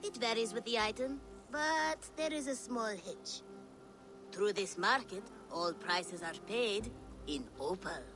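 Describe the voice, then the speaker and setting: An actress voicing a shrewd, self-assured female merchant in a video game, with smooth, formal salesmanship. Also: fairly high pitch, a little slow, music in the background, close to an everyday voice. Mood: sly, inviting.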